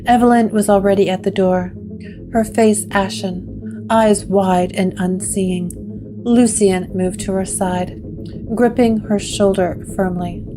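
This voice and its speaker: Slow, hushed woman narrator. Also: mid-range voice, fairly even intonation, music in the background, low-quality recording. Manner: slow, hushed, dramatic storytelling. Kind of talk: scripted gothic drama narration.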